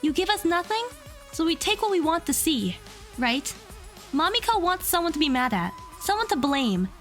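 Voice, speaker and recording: A woman's voice: very high-pitched, very animated, normal speed, faint music behind. A woman playing an earnest young student in an audio drama, reasoning insistently and emphatically. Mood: resolved, deducing. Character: earnest young female student defending the accused.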